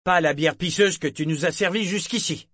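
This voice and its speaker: A man's voice: a little high, moderate pace, fairly bright tone, no room sound, clean studio sound, pitched above the performer's own voice. A man voicing a cunning young traveler who talks in an affable, sly way. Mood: sly, friendly. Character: cunning young male traveler, smooth-talking.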